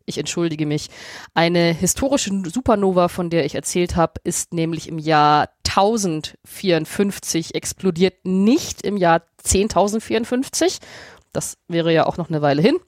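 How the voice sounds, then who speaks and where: A woman co-hosting a podcast in casual chat, sounding a bit off from a cold but enthusiastic and quick to laugh. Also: fairly low pitch, animated, darkish tone, no room sound, clean studio sound. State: has a cold. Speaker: podcast co-host, astrophysicist.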